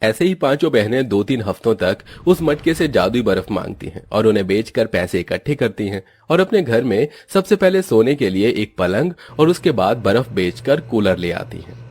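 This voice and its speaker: Low-voiced man narrating a cartoon story. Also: sing-song, moderate pace, few pauses, a little mellow, husky, no echo.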